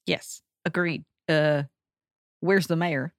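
A woman's voice: deep voice, fairly even intonation, slow, no room sound, clean studio sound. A woman playing a tabletop role-playing game in casual, improvised talk with the table.

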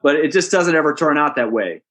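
A hockey play-by-play announcer answering interview questions in a relaxed, anecdotal way, chatty and amused. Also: male voice, fairly high pitch, brisk. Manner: chatty storyteller, amused, with restarts and asides.

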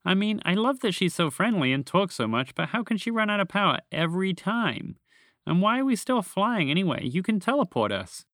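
A man's voice: high-pitched, sing-song, a little fast, a bit bright, no room sound, clean studio sound, voice pushed up, heavily acted. A man voicing a small, round green creature with three eyes, complaining in an exasperated way.

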